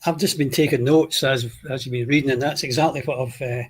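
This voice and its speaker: A man with a husky, mid-pitched voice speaks as a podcast co-host. He comments in a casual, conversational style. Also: fairly even intonation, fairly quick. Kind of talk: casual chat.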